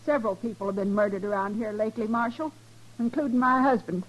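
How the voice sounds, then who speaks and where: A woman acting a bitter, protective middle-aged frontier mother in a 1950s radio western, with terse, flat delivery and rustic grammar. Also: on the high side, fast talker, natural voice, poor audio. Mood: guarded, bitter.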